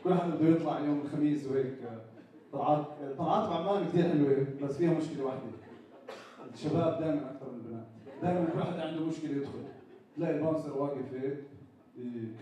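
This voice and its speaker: Young Jordanian comic in an echoey hall. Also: male voice, fairly high pitch. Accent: Jordanian Arabic. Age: young adult. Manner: animated, acts out characters in raised voices. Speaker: stand-up comedian. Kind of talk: stand-up comedy routine. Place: small indoor stage with a live audience. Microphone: handheld mic. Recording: echoey hall recorded from far off, with crowd noise.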